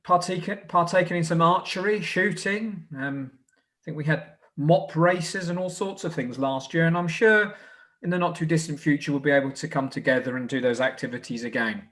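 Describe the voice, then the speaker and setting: Man, English accent, slightly high pitch, hesitant, informal host's address, scout leader. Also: fairly bright tone. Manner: hesitant, halting delivery. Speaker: Group Scout Leader hosting. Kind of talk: informal closing address at online presentation evening.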